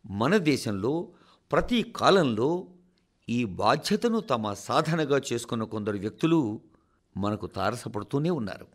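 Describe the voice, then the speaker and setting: A man reads a formal scripted radio address as a presenter, in a measured, rhetorical way that speaks directly to listeners. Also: mid-range voice, sing-song. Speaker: radio presenter reading a translated national address.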